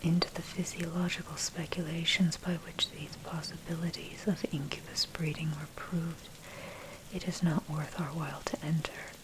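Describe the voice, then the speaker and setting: A woman narrator reading a scripted history essay as ASMR in a breathy, unvoiced whisper, measured and deliberate. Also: sits low, level tone. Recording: noisy track with rain and thunder. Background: rain and thunder ambience.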